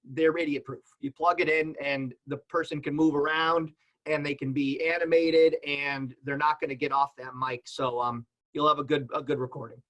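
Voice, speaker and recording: A man's voice: fairly high pitch, a bit bright, muffled recording. A plain-spoken man giving casual, practical advice as a panelist in a conversational way. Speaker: panelist with radio and podcasting experience.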